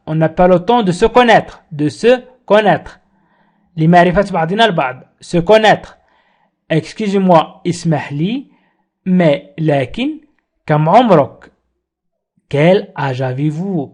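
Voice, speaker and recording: A man with a notably high voice leads a recorded language lesson. He says each phrase slowly and deliberately, repeats it several times, then rattles off a brisk explanation before moving on. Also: a little mellow. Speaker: French teacher.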